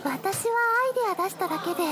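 Clear hiss sits behind a very high, girlish voice. A woman plays an earnest high school club captain in a radio drama, gentle and placating as she speaks. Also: expressive, racing, over a noisy crowd. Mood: earnest, encouraging. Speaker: lead actor and narrator. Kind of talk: radio drama narration and dialogue.

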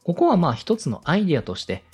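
A man's voice: fairly high pitch, wildly swooping pitch, fast talker, a little mellow, husky. A man hosting a show, politely giving step-by-step advice on presenting and slipping in example phrases. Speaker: radio director and podcast host giving advice.

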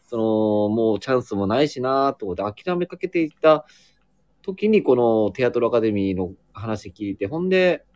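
A man in casual, reflective group chat, speaking haltingly as he searches for his words. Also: mid-range voice, sing-song, darkish tone, no room sound. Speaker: acting student, guest in a group chat.

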